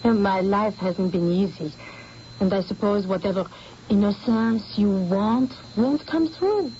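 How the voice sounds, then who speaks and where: An actress playing a haunted young artist's model, speaking softly and earnestly in an old radio drama. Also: female voice, mid-range voice, a little slow, slight background noise, close to an everyday voice. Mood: confessional. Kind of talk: 1970s radio drama acting. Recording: dull lo-fi old radio recording.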